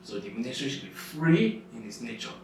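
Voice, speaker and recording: A man's voice: medium pitch, sing-song, fairly quick, creaky. A young man, a guest in a casual discussion, explaining his opinion step by step and defining his terms. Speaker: guest on a youth discussion show. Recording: echoey room, distant mic, faint noise.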